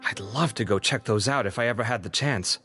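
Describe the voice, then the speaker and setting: A man's voice: fairly low pitch, brisk, bright, forceful tone, soft background music. A man voicing a friendly, earnest young man in a video game, chatty and informal. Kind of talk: English video game voice acting.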